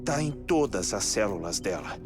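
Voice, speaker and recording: A man with a Brazilian accent playing a calm, methodical scientist who explains findings in a dubbed game cutscene. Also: on the low side. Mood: calm, explanatory. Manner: expository, measured. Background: music.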